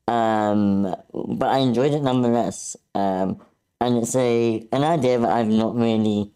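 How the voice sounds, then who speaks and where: A man's voice: on the low side, mellow, clear, resonant tone. A man giving casual critique in an online meeting, tentative and thoughtful, hesitating as he goes. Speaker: script feedback participant.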